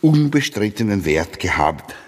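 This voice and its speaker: A man with a Swiss German accent playing a grandiloquent literary speechmaker who declaims a mock-solemn eulogy with drawn-out emphasis. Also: fairly low pitch, sing-song. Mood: solemn, pompous. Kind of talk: theatrical satirical eulogy. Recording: long reverberant hall.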